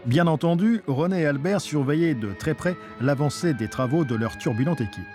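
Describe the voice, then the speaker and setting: A man's voice: fairly high pitch, brisk, darkish tone. A man reading scripted documentary narration. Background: music.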